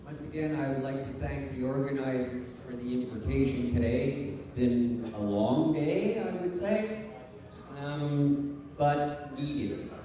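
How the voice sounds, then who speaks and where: Male council candidate, slow and halting, mellow timbre, distant mic in a long-reverb hall. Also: medium pitch, steady tone, dull audio, a little hiss or hum. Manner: halting, hesitant. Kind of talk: campaign closing speech at a public debate. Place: large hall, public debate. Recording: very echoey hall, distant microphone.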